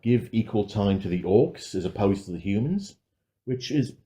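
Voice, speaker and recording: A man's voice: fairly low pitch, sing-song, normal speed, dark tone. A man co-hosting a podcast, reviewing films in an informal, self-correcting way. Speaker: podcast co-host. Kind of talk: casual film review chat.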